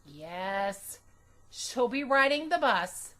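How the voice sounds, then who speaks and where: Woman acting as storybook mother, patient, gently firm, high voice, measured, reassuring. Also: big pitch swings, heavily acted. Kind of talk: children's storybook character voice.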